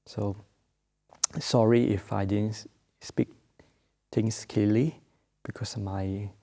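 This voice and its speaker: A Buddhist monk from Malaysia gives a slow, gentle Dharma talk in English, his Malaysian accent clear. His voice sits low, with a dark, mellow tone and a gravelly edge. He pauses often on small filler sounds. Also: fairly even intonation. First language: not English. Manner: halting, many filler sounds; gentle, unhurried teaching.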